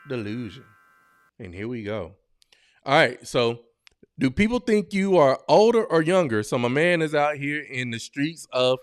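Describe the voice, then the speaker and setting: A man's voice: medium pitch, smooth, dry recording, studio quality. A man hosting a podcast, reacting to online videos in casual, slangy, blunt and joking commentary full of exclamations. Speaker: podcast host.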